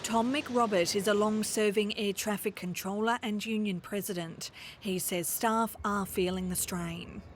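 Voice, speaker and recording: A woman with an Australian accent narrating a television news report in a measured, clear voice over a music bed. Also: mid-range voice, steady tone, a little hiss or hum.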